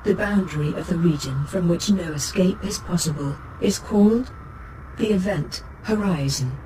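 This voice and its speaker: An actor voicing a calm, knowledgeable artificial ship's computer, giving scripted encyclopedic narration in a measured, formal, precise manner. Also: medium pitch, close to an everyday voice, poor audio. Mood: calm, informative. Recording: clear noise, spaceship ambience behind.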